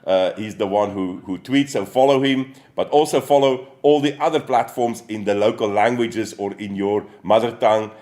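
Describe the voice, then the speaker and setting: A company executive speaking on a livestream, halting and full of fillers. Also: male voice, fairly low pitch, darkish tone. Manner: halting, many fillers and restarts. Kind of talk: casual livestream talk.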